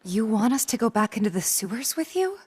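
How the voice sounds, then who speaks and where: An actress playing an earnest, determined young woman adventurer in a video game, with a breathy voice. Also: on the high side, very animated, a little fast, darkish tone. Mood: earnest, curious.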